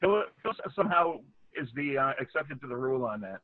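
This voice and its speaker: A man from the Boston area co-hosting a podcast, chatting casually, animated and argumentative, laughing often, heard over a call-in line. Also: medium pitch, quick pace, no echo. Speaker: podcast co-host. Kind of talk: casual banter. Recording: tinny dry phone-like line.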